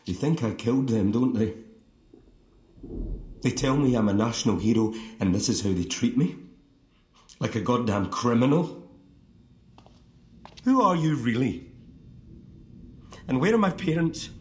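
A man's voice: medium pitch, lightly acted. An actor playing a distraught, accusing young man in an audio drama, insistent and confrontational. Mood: indignant, then desperate disbelief. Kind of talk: audio fiction drama acting. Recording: roomy sound with music behind.

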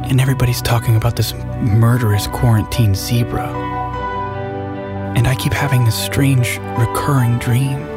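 An actor playing a dreamy, haunted young man, narrating a fiction podcast trailer in a hushed, deliberate, confiding way. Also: mid-range voice, close to an everyday voice. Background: loud music.

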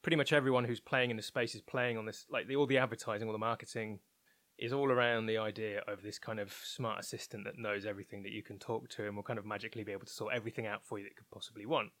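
A man's voice: lowish voice, fairly even intonation, rapid-fire, a bit bright, dry recording. A man talking as an interview guest, explaining his opinions informally and candidly, thinking aloud as he goes. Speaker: interview guest, technology researcher at a broadcaster.

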